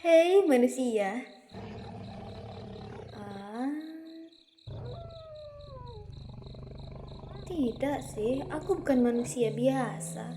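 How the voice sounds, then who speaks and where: A woman with an Indonesian accent voicing an ancient, teasing and domineering female vampire in an anime-style ASMR roleplay, with taunting delivery. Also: on the high side. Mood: mysterious, taunting. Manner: slow, taunting, mixing Indonesian with bits of English and Japanese. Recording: steady insect and nature ambience noise.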